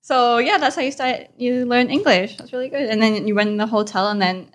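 A woman's voice: fairly high pitch, fairly quick. A woman hosting a podcast interview in an informal, conversational way, often affirming what she hears and peppering talk with "like". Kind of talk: casual chat.

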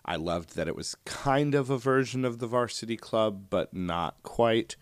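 A man hosting his own podcast, talking in a soothing, relaxed way and often wandering off on tangents. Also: mid-range voice, unhurried, no echo. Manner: relaxed, digressive, frequent "uh" and "um". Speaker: podcast host. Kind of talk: casual solo podcast talk.

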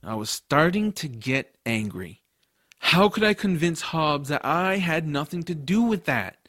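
A man playing a casual, excitable boy hero, telling a fantasy adventure in the first person. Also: fairly high pitch, measured pace, no echo, studio quality, heavily acted. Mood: narrating, indignant. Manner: casual, boyish phrasing. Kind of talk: first-person audiobook narration and drama.

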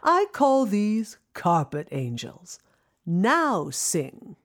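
A woman's voice: medium pitch, very animated, extremely slow. A woman playing an elegant, exuberant older singing teacher in an audio drama, theatrical and commanding. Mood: brisk, commanding. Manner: theatrical, commanding, calls people darling. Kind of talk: audio drama character acting.

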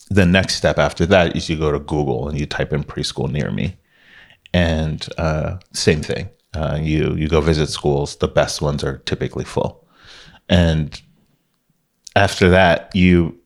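A man with an extremely low, deep voice talks about his company as an interview guest. He is from Miami, Florida, and tells his story at an easy pace, often restarting his sentences and filling pauses. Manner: relaxed storytelling with many restarts and fillers. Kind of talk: casual interview talk.

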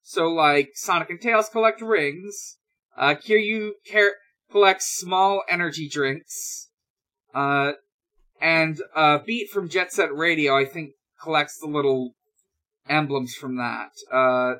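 A man hosting a podcast, chatting casually through news in a halting, hesitant way with drawn-out pauses. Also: on the high side, sharp tone. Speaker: podcast host.

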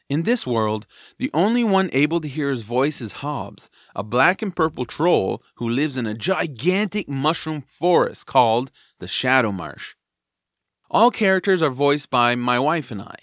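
A man's voice: medium pitch, a bit bright, dry recording, clean studio sound. A man narrating a scripted introduction to a family audiobook series as its host. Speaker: narrator and co-creator.

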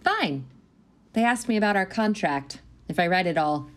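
A woman's voice: fairly low pitch, animated, normal speed, lightly acted. A woman in her thirties playing an anxious, self-doubting new schoolteacher with a wry edge, in a naturalistic audio drama. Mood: earnest. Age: 30s. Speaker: actor in an audio play.

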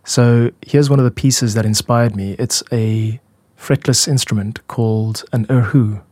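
A man hosting a podcast, explaining his own music in a measured, reflective way. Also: mid-range voice, steady tone, a little mellow, dry recording. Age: adult. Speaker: podcast host and music producer.

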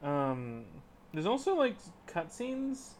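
A man co-hosting a casual gaming podcast, speaking in a halting, hesitant way. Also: sits high, very animated, a little hiss or hum. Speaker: podcast co-host. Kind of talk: casual video game podcast chat.

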